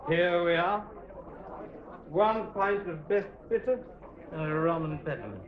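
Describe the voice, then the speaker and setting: A man's voice: a little high, measured pace, a loud crowd, natural voice. A man in his thirties playing a fussy, predictable husband who doubts himself, in an earnest, flustered 1980s sitcom way. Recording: dull lo-fi TV audio with hiss.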